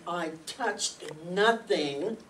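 A woman's voice: on the low side, animated, extremely slow, fairly bright tone, exaggerated voice. A woman playing an anxious, proper schoolteacher in distress in an old-time radio mystery. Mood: nervous, subdued. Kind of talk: 1940s radio acting. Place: meeting room stage before an audience. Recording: distant, noisy live room recording.

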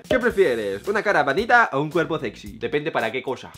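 A young man in his twenties from Lima with a Peruvian accent, answering viewer questions in a casual, jokey vlog, swinging between loud bursts and hushed asides. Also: a little high, sing-song, a little fast, soft background music. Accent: Peruvian Spanish, from Lima. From Peru. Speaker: vlogger. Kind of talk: casual talking-head Q&A. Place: small indoor room.

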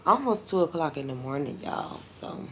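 Tired older lady vlogging from a hotel room, low voice, a bit of hiss. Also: very animated, moderate pace, fairly bright tone. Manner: chatty, says y'all a lot. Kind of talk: casual vlog chat to camera.

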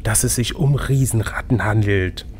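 A man's voice: on the low side, normal speed, slight background noise. A man narrating an adventure gamebook as game master, switching between scripted reading and casual chat.

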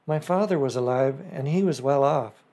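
A man's voice: mid-range voice, moderate pace, mellow, lightly acted. A man voicing a shrewd, polite fifteen-year-old newsboy who talks eagerly and respectfully.

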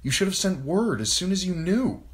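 A man's voice: fairly low pitch, animated, unhurried, voice pushed up. A man voicing an anguished noblewoman in an audiobook, a stern and hurt grown daughter with an insistent manner. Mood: angry, hurt. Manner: hard, insistent.

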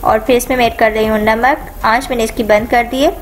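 A woman hosting a home cooking channel, calmly talking viewers through each step of a recipe in a voice-over. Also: a little high, brisk, soft background music. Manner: calm, instructive, repeats each step.

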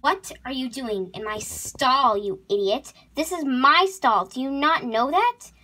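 A woman's voice: high voice, expressive, measured pace, bright, forceful tone, heavily acted, low-quality recording. A woman voicing a bossy, melodramatic, easily upset female horse in a whiny, theatrical way for an animal role-play drama. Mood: angry, bossy.

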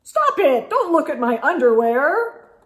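A woman's voice: high-pitched, sing-song, a little slow. A woman voicing an eager, easily exasperated Santa Claus telling his storybook tale with emphatic exclamations. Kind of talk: storybook narration in first person. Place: indoor room lined with bookshelves.

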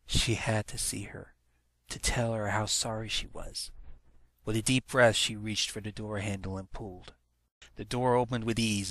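A man's voice: on the low side, fairly bright tone, dry recording. A man reading a story aloud as narrator, in a dramatic storytelling manner. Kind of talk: scripted story narration, fan fiction read-aloud.

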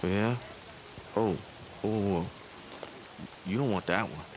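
Low-voiced, chatty camp mechanic. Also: male voice, takes it slowly, background noise. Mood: evasive, dismissive. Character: chatty, evasive camp mechanic, small-time hustler. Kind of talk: naturalistic cinematic game acting.

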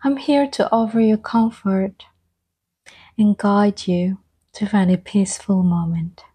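Soft breathy asmr lady doing a calming guided meditation, really slow n gentle, whispery. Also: mid-range voice. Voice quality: soft-spoken, breathy, often slipping into whisper. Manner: gentle, soothing, unhurried with long pauses.

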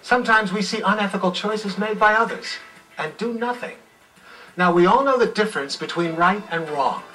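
A man's voice: sits high, normal speed, pushed and bright, faint music behind. A man in his fifties giving a scripted presentation for a training film. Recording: distant, re-filmed off a TV screen.

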